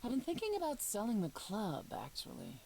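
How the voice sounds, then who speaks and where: An actress playing a proud, composed goddess in a video game, speaking formally with a dry, deliberate manner. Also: female voice, mid-range voice, huge pitch swings, creaky, darkish tone, a little hiss or hum, rough recording. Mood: measured, sincere. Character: composed, proud goddess, sardonic sister and former leader.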